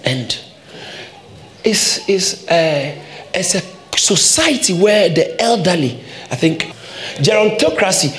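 High, lively pastor preaching. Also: male voice, takes it slowly, pauses often, echoey room. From Ghana. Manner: emphatic preaching with repeated phrases. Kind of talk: sermon.

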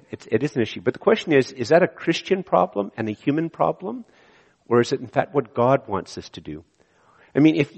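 A hoarse man preaching a sermon as pastor, talking in a conversational way with many fillers and restarts. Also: fairly low pitch, a little mellow. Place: church sanctuary. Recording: church hall with long reverb.

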